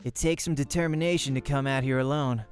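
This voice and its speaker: A man's voice: medium pitch, fairly even intonation, fast talker, soft background music, natural voice. Man voicing a blunt, smug woman pro gamer. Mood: curt, cool.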